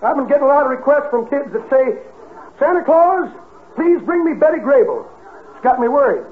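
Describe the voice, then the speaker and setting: A man's voice: big pitch swings, fairly quick. An actor playing a cranky, joking old man working as a store Santa in 1950s radio comedy, with a folksy, rustic delivery. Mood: wisecracking. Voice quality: high-pitched old-timer voice. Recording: muffled 1950s radio recording, faint noise.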